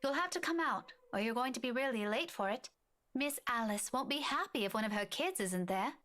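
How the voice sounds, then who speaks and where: An actress playing a gentle schoolteacher who coaxes children in a horror video game. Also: female voice, fairly high pitch, expressive, brisk. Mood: coaxing. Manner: gentle, coaxing, addressing children.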